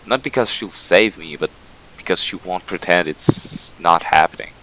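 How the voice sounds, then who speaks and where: A man playing a haunted facility worker, giving a measured, brooding confession in an analog horror drama. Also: deep voice, sharp tone, rough recording. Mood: somber, resigned. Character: somber, haunted male facility worker confiding. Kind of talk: scripted horror monologue.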